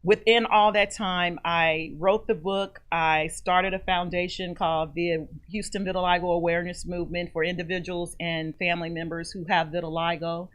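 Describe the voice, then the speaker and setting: A woman's voice: fairly low pitch, flat delivery, normal speed, few pauses. A woman guest on a radio interview, telling her personal story in an earnest, heartfelt way. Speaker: guest, author and foundation founder.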